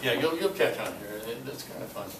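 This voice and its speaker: An older man giving an informal club talk, halting often and adding conversational asides. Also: medium pitch, lively intonation. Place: club meeting room. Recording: echoey noisy room, distant mic.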